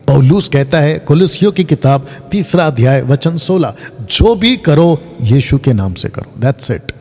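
Husky man preaching in a reverberant space. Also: a little high, darkish tone. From India. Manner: conversational preaching, mixing Hindi with English words; rhetorical questions. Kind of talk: online sermon.

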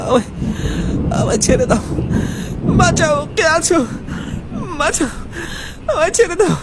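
Terrified male victim, high voice, desperate, wailing, pleading, horror animation, heavy noise behind. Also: big pitch swings, unhurried, exaggerated voice. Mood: crying for help. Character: terrified young male village reporter pleading for life. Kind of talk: animated horror story dialogue. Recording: very noisy, loud ambience behind.

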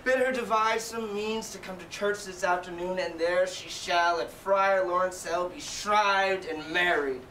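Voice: mid-range voice, steady tone, a little slow, hardly any pauses, bright, forceful tone. An ardent young male lover played by an actor in a Shakespeare stage play, earnest and declamatory. The voice comes from a distance in an echoey room with faint noise.

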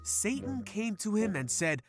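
Male narrator, animated children's Bible story, higher-pitched voice, music bed. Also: measured pace. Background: background music.

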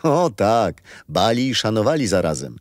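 A man's voice: on the low side, big pitch swings, normal speed, no echo, studio quality. An actor playing a kindly, patient old grandfather who tells history to his grandchildren in a children's radio play. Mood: storytelling, amused. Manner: gentle storyteller, explaining patiently.

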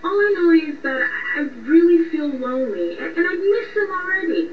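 A woman's voice: very high voice, big pitch swings, moderate pace. A woman playing a lovesick, vulnerable young woman in a radio drama, hesitant, defensive and pleading. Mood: lonely, anxious. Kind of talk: radio drama phone conversation. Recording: muffled, echoey, distant, faint noise.

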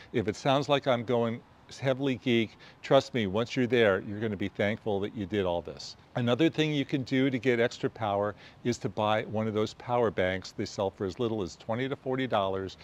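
An American man in his sixties presenting gear tips to camera as a video host, emphatic and fond of listing points. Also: medium pitch. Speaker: host of a travel photography video show. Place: outdoors on a quiet residential street.

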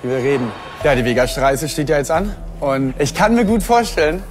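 A man's voice: on the high side, brisk. A man on a reality show with a slight cold, talking in a cocky, dismissive way about a rival. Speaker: reality TV contestant. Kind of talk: reality TV confessional and argument.

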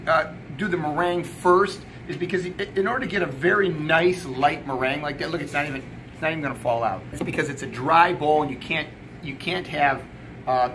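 A middle-aged man presents a recipe in a chatty, informal way, stressing his points as he goes. His voice sits fairly high for a man. He is recorded in an indoor kitchen with clear background noise. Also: pushed and bright. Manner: chatty, emphatic, informal, often checking in with "okay?". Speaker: chef presenting a recipe video. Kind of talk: casual cooking demonstration. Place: indoor commercial kitchen or sandwich shop.

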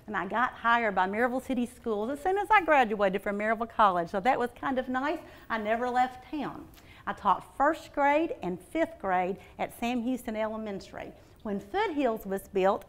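Woman in her fifties, school administrator, folksy and energetic, higher voice, lapel mic, big meeting room. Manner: energetic, folksy, animated gestures. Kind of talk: staff training talk. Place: large meeting room with tables. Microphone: lapel mics.

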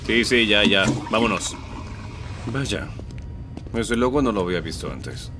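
A man playing an impatient, gruff robot soldier in a cartoon fan dub. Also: fairly low pitch, lively intonation, unhurried. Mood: wary. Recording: loud music behind.

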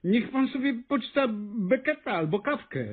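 Very high, plaintive stationmaster. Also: male voice, animated, moderate pace. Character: plaintive, high-voiced male stationmaster with artistic dreams. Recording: muffled, lo-fi radio recording.

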